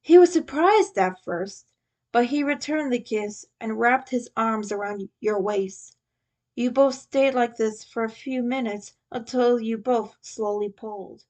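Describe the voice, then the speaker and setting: A woman's voice: fairly high pitch, unhurried, a little mellow. A woman narrating a fan fiction story from a script.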